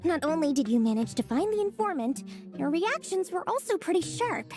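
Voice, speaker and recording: High-pitched, sly little merchant girl. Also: very animated, fairly quick, darkish tone, soft background music. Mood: indignant, probing. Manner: theatrical, wheedling, smug salesmanship. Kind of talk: video game voice acting.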